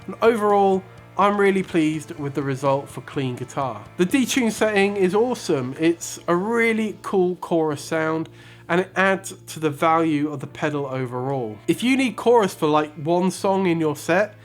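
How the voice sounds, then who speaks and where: A man hosting a review channel, giving a scripted product review with measured, emphatic presenter delivery. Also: a little high, lively intonation, faint music behind. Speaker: host of a guitar pedal review channel.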